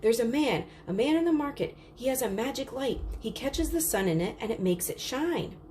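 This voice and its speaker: A woman voicing an eager, excitable young girl in a read-aloud storybook, gushing and breathless. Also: on the high side, normal speed, slight background noise, pitched above the performer's own voice, lightly acted. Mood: breathless, excited.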